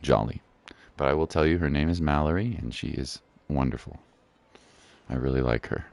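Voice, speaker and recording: A man chatting casually and encouragingly as he hosts a game livestream, using relaxed slang. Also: very deep voice, normal speed, gravelly. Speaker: game streamer and host.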